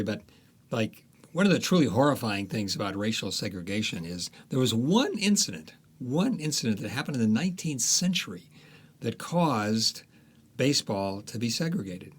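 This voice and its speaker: A man, an author guest in a podcast interview, talking casually and hesitantly with many restarts. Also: fairly low pitch.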